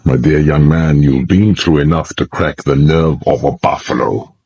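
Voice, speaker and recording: An actor playing a wry, kindly older gentleman, courteous and deliberate in his speech. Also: a bass voice, husky, no echo, heavily acted.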